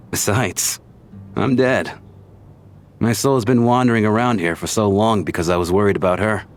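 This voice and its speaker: An actor playing a gentle, weary dead man at peace, resigned and wistful, in a video game dub. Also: lowish voice, fairly even intonation, a little slow, pauses often. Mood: relieved, bittersweet. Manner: wistful, resigned, plain-spoken. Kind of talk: English game dub voice acting. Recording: music under the voice.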